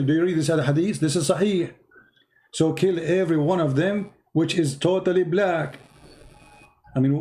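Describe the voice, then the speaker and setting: Insistent lecturing man, higher voice. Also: warm tone. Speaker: host. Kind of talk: heated call-in debate.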